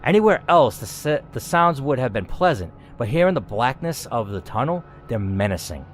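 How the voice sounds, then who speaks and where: A man's voice: medium pitch, moderate pace, a little hiss or hum. A man giving a dramatic, theatrical reading of a scripted story, as a gaming host narrating aloud. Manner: theatrical, expressive reading with asides.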